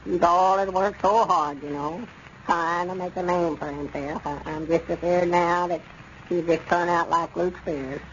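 An actor playing an excitable old country storekeeper with a rural Southern accent and a hesitant, stammering, folksy manner in a radio comedy. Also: male voice, high voice, a little slow. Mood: worried. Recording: dull, noisy old radio recording.

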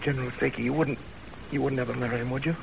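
A man's voice: medium pitch, steady tone, very fast, gravelly, background noise, natural voice. Man acting a lovesick young man, 1930s radio. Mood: pleading, urgent. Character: earnest lovesick young man narrating his romance. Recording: old 1930s radio recording with music.

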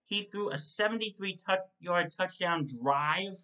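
A young man hosting a solo football postgame recap from his home kitchen, reading stat lines in quick lists and correcting himself as he goes. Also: sits high, pushed and bright. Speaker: sports show host. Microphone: desk mic in front of a webcam.

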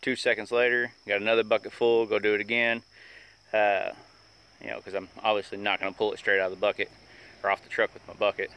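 A man's voice: lowish voice, fast talker, clear, resonant tone. A man in his thirties casually talking through a how-to outdoors in a rural yard, with lots of uh fillers, recorded on a handheld phone. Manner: relaxed, rambling, many "uh" fillers. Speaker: homesteading vlogger. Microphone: phone camera held at arm's length. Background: crickets and insects.

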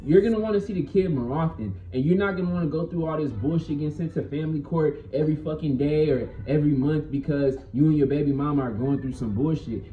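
A man's voice: fairly high pitch, warm tone. A young American man giving a blunt, slangy, emphatic opinion monologue to camera, swearing freely in a casual way. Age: young adult. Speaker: video channel host. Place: small bedroom with bare walls. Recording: echoey small room.

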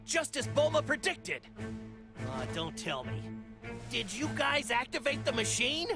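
A woman's voice: fairly high pitch, sing-song, unhurried, music in the background. A woman voicing a small, earnest, hot-headed male martial artist in an anime game, with emphatic, exclamatory delivery. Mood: worried, urgent. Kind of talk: anime game dub acting.

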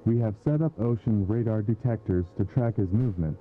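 A man narrating a warning broadcast in a flat, instructional delivery. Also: lowish voice, brisk, faint music behind. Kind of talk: scripted narration. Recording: tinny phone-like broadcast audio.

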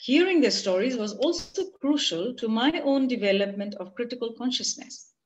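A woman in her forties with an Indian accent, giving a prepared academic conference talk in a measured, earnest way over a video call. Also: on the high side, expressive, darkish tone, slight background noise. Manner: measured, earnest, read from notes. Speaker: conference presenter. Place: home room, video call. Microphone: computer mic on a video call. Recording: muffled lo-fi video call audio.

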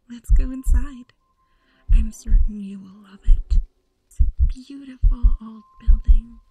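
A woman playing an eerie, sweetly sinister young manor dweller in a soft breathy whisper for horror ASMR roleplay. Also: fairly high pitch, phone-quality sound.

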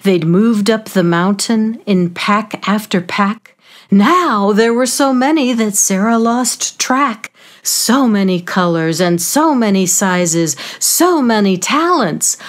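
A woman author reading a children's story in rhyme, with an expressive, measured storytelling manner. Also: medium pitch.

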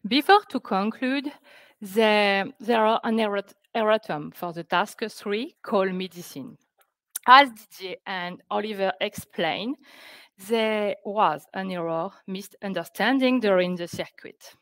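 A woman presenting scientific results on a webinar, speaking careful, halting English. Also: medium pitch, a bit bright, dry recording. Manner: careful, halting non-native English delivery. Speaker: researcher presenting project results. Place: indoors on a video call.

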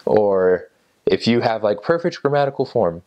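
A man in his twenties, seated indoors and talking to an off-camera interviewer in a relaxed, thoughtful way, with many fillers and restarts. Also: fairly low pitch, moderate pace, lo-fi sound. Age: 20s. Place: indoor room by a window, seated in an armchair.

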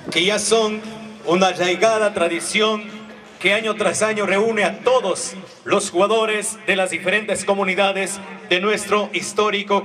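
A man's voice: high-pitched, bright, forceful tone, distant mic. A man with an Ecuadorian accent delivering a formal public speech. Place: outdoor sports ground.

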